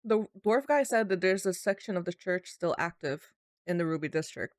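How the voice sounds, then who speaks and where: A woman's voice: on the low side, expressive, moderate pace, no echo, clean studio sound. A woman chatting casually as a player in a tabletop roleplaying game, moving between speaking for her character and table talk.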